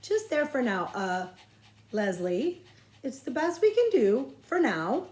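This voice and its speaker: A woman's voice: sits high, big pitch swings, a little mellow, voice pushed up, full character voice. Woman reading as fussy flustered schoolmistress, roomy echo. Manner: mumbling, halting, bossy politeness.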